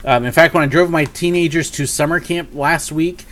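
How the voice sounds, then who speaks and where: A man's voice: fairly high pitch, normal speed, fairly bright tone. A man in his forties, a bit sniffly from a cold, chatting through a live question-and-answer stream from his home office at a desk webcam, upbeat and rambling with lots of fillers. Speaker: YouTube host. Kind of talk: live Q&A and mail call. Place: home office with shelves of collectibles.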